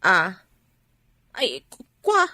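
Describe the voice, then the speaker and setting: An actress playing a taunting, gossiping neighbour in a radio drama, exaggerated in manner. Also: female voice, medium pitch, wildly swooping pitch, drawn-out words, bright, forceful tone, no echo, full character voice. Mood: mocking. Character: mocking, gossiping female neighbour. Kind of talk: Ilocano radio drama acting.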